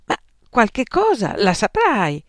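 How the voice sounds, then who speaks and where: A reader voicing a stern old male school examiner who shouts in exasperation as he loses patience. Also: high-pitched, lively intonation, a little slow, creaky, dry recording, studio quality, voice pushed up, full character voice. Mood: impatient.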